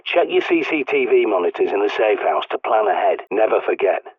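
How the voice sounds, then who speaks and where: An actor playing a gruff ex-army male survivalist with a British accent, giving blunt orders over a radio link. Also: lowish voice, very animated, brisk, dry recording. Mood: commanding. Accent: British English. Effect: radio transmission filter. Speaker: radio guide character. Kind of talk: video game voice acting. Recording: tinny radio-filtered dry studio voice.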